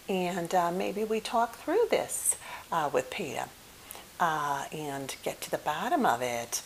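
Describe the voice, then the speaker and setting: Woman acting as a gentle therapist, ASMR roleplay, soft-spoken and whispery, very slow, hesitant and soothing, faint hiss. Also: on the low side, rough recording. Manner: hesitant, soothing, reassuring, with many soft pauses. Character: gentle, caring woman psychotherapist counseling a fairy client. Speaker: ASMR performer.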